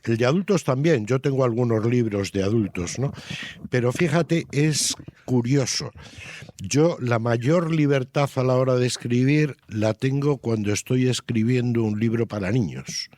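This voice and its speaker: A man's voice: medium pitch, a little slow, darkish tone, dry recording. A man answering as an interviewed author in a reflective, explanatory way, repeating points for emphasis and checking in with short tag questions. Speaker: interviewed children's book author.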